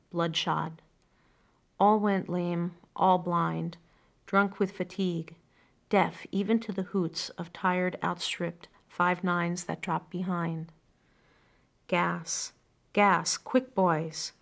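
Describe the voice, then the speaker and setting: Creaky-voiced, low-pitched middle-aged woman, earnest. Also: flat delivery, takes it slowly. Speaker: poetry reader telling family memories. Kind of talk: reflective personal storytelling and poem reading. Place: quiet furnished room indoors.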